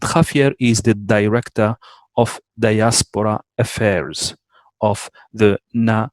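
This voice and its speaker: A man hosting an online talk over a video call, reading a formal guest introduction in a measured, careful way. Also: fairly low pitch, dry recording. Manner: measured, careful with names, small self-corrections. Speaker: host introducing a guest speaker.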